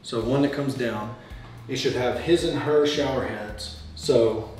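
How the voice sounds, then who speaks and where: A man's voice: mid-range voice, measured pace, a little mellow, heavy reverb, soft background music, poor audio. A man, giving blunt, crude commentary while walking through an unfinished house. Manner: crude joking, blunt opinions. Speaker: real estate vlogger. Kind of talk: casual walkthrough commentary. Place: unfinished house under construction, indoors and outdoors.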